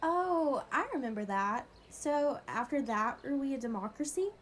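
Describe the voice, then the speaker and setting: Woman acting as curious young female friend, excitable, slightly high voice, girlish slang, questioning, scripted amateur radio drama. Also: moderate pace, slight background noise. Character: excitable young woman, curious about how government works.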